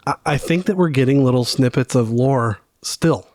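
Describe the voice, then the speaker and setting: Male co-host for a fan podcast. Medium pitch, conversational and speculative, thoughts left hanging, very dry recording. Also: moderate pace, darkish tone, dry recording. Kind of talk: casual fan podcast chat.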